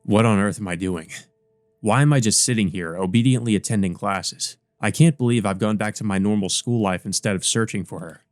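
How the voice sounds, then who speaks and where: A man with a fairly low voice reads a visual novel aloud as a let's play host. His delivery mixes narration with casual comment, recorded dry and close. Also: brisk, no echo.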